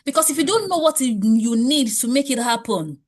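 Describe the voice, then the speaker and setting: A woman's voice: high voice, lively intonation, a little fast. Emphatic woman coaching a class. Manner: emphatic, preachy, rhetorical questions. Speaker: wealth coach. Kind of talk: motivational class lecture.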